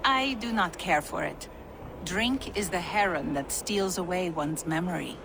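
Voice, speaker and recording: An actress playing a serious, dry-witted young giantess in a video game, speaking bluntly and formally. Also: female voice, fairly low pitch, unhurried, creaky, background noise. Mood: dry, disdainful.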